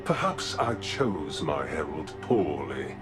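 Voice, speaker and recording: An actor playing an ancient, imperious god who manipulates his followers, speaking in a grand, deliberate, condescending way in a fantasy game. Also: very deep voice, sing-song, over music, exaggerated voice. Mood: condescending, menacing.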